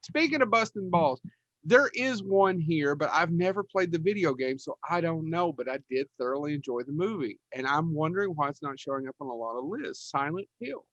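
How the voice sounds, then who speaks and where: Male co-host, blunt casual talker, a touch high, dull dry recording. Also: expressive, fairly quick, fairly bright tone, no echo. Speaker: podcast co-host. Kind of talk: casual chat.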